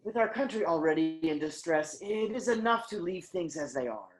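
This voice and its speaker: A woman's voice: lowish voice, animated, normal speed, lightly acted. A woman playing a loyal, conciliatory counselor to a king in a staged Greek tragedy, speaking with earnest formality. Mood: grave.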